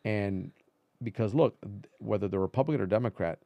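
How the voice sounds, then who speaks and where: Low, gravelly man talking politics casually. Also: fairly quick, dark tone, no room sound. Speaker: veteran political reporter, interview guest. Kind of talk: casual conversational interview talk.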